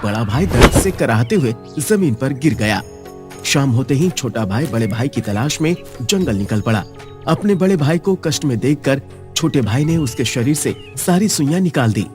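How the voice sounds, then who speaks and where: A man narrating an animated moral story for children in a steady, clear, scripted storytelling manner. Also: mid-range voice, normal speed, soft background music.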